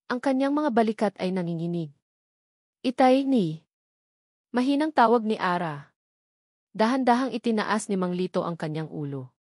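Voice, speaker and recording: A woman narrating a scripted drama in a measured, dramatic way. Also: mid-range voice, animated, a little mellow, dry recording, studio quality.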